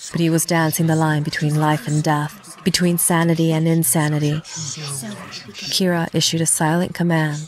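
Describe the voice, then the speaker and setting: A woman reading scripted narration for a dark fantasy audio drama. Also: low voice, flat delivery, moderate pace.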